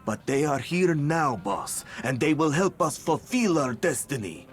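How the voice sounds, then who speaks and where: A man's voice: a little high, sing-song, measured pace, faint music behind. A man playing an eager, boastful young warrior devoted to a leader in a video game, speaking with fervor. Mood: hopeful. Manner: fervent, boastful.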